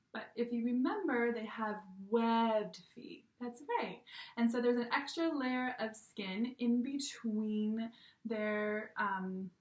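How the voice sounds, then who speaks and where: A woman giving a gentle, patient online lesson to young children from her home, often checking in with "okay?". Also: a little high, far from the mic. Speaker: teacher. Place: room at home. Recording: echoey room, voice a bit off-mic.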